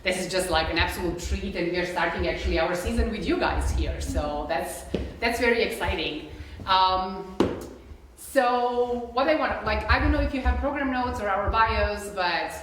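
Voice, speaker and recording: A woman's voice: medium pitch, normal speed, distant mic, noisy background. A young woman with a Serbian accent, chatting casually to a concert audience with many fillers, as a flutist introducing the program. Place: concert hall. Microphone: distant room mic. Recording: echoey hall recorded from afar.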